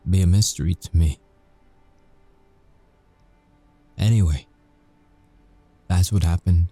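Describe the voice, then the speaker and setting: A man playing a weary, confessing werewolf ex-agent in an intimate audio roleplay, speaking haltingly with deliberate pauses. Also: low-pitched, mellow. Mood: grieving, resigned.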